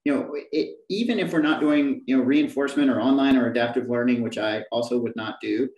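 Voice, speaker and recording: A man speaking on a panel over a video call with a headset, quick and emphatic, often restarting his sentences. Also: mid-range voice, darkish tone, echoey room, far from the mic, rough recording. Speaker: panelist, principal scientist. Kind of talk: panel discussion.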